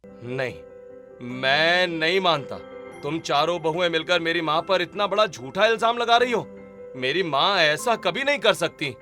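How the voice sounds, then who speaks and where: A man's voice: on the high side, expressive, measured pace, bright, forceful tone, soft background music. A man voicing a devoted, easily indignant adult son and husband in a cartoon family drama. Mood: disbelieving.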